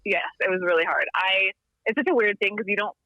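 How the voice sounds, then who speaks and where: A woman's voice: mid-range voice, quick pace, no room sound. A woman in her thirties from Texas, calling in from home as a podcast guest, chatting casually with frequent ums and laughs. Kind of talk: casual interview chat. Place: home in Littleton, Colorado. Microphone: remote call-in line. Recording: dull dry call-in audio.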